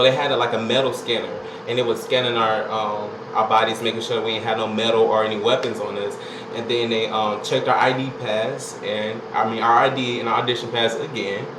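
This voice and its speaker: Chatty man in an echoey room. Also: mid-range voice. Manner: rambling, chatty, with many fillers and asides. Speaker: vlogger. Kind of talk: casual vlog recounting a singing audition. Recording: echoey room with loud air-conditioning hum.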